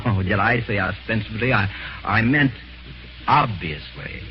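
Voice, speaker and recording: A man playing a scheming, sardonic husband in an old-time radio mystery, smooth, deliberate and falsely genial. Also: lowish voice, expressive, very noisy. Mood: slyly casual. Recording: muffled vintage radio, music behind.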